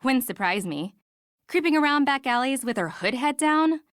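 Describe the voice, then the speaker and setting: A gossipy, suspicious townswoman in a video game. Her voice is smooth and clear, with a dry sound. She speaks in a judgmental, insinuating way. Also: high-pitched, animated, moderate pace, fairly bright tone, no room sound. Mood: suspicious, smug.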